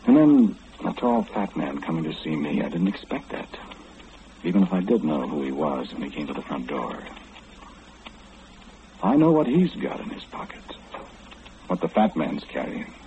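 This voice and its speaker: A man's voice: extremely low pitch, big pitch swings, moderate pace, pauses often. An actor in an old-time radio horror play, playing an omniscient, ominous man who confides insistently and questioningly in the listener. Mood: ominous, confiding. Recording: muffled, hissy old radio recording.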